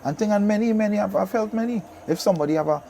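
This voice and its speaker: Fast-talking, emphatic Trinidadian man, mellow tone. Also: sits high, creaky, a little hiss or hum. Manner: emphatic, repeats phrases for emphasis. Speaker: guest, radio DJ and soca artist. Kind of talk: casual interview chat.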